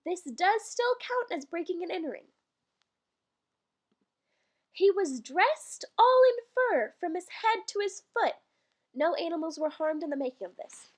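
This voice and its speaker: Female reader, very high voice, lively swings in pitch, theatrical comic storytelling with dry asides. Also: measured pace, pauses often. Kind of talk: dramatic comedic reading of a Christmas poem with ad-libbed asides.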